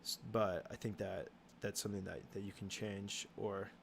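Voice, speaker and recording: A young man hosting a casual livestream Q and A, answering chat questions in a halting way with many ums and restarts. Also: lowish voice, level tone, vocal fry, slight background noise.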